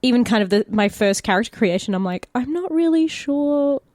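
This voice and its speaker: A woman's voice: fairly high pitch, lively intonation, fairly quick, a little mellow, no echo, clean studio sound. An Australian woman co-hosting a podcast, chatting casually in an informal, sweary way. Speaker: podcast co-host.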